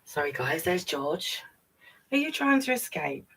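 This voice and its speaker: Female children's storyteller, playful and chatty, animated intonation with some creak, recorded at a slight distance. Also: low-pitched, a little slow. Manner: playful, addresses listeners with questions and tag questions. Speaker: storyteller reading a picture book to young children. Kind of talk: read-aloud story time with chatty asides.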